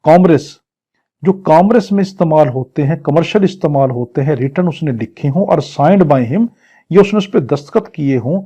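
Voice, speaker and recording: Mellow, higher-pitched Pakistani man lecturing. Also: husky, highs cut off. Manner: didactic, poses questions and answers them. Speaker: law teacher. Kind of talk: exam-prep lecture, explaining clause by clause.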